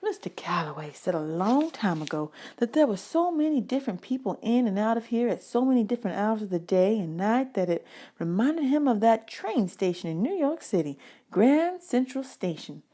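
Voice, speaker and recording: A woman voicing a kindly grown woman who gently reassures a nervous boy in a children's audiobook. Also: on the high side, sing-song, moderate pace. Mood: reassuring. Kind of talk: children's audiobook character dialogue.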